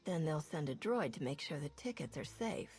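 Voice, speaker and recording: Wry female smuggler captain; husky, expressive, dry humor. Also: low-pitched, moderate pace, soft background music, full character voice. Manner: wry, self-assured banter. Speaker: player character.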